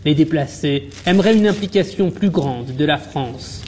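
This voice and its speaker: A man narrating a scripted news report in an even, measured way. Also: a little high, slight background noise.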